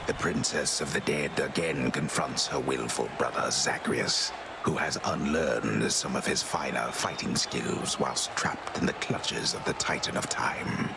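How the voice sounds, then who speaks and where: An actor playing a grand old storyteller who narrates a video game in solemn, florid phrases. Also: male voice, a bass voice, a little slow, vocal fry, background noise, heavily acted. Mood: grandly solemn.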